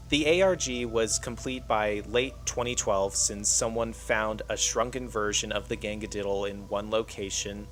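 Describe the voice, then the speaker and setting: A man's voice: fairly low pitch, fairly bright tone. A man reading a scripted video essay as the narrator of an online channel.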